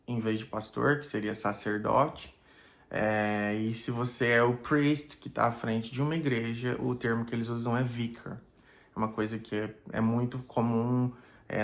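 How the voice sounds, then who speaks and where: Pastor guy, middle-aged, easygoing chat, lots of little fillers, mid voice. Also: medium pitch. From Brazil. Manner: thoughtful, many fillers like "né" and "é". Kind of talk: casual reflective vlog.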